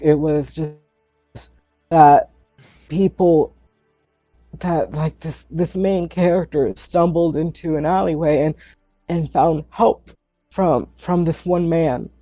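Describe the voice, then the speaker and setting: Man acting as distraught teenage girl on trial, slow, hesitant, defensive, slightly high soft-toned voice, tabletop roleplay, dry muffled recording. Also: fairly even intonation, no echo, faint music behind. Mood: distraught, wounded. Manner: hesitant, stammering, restarting.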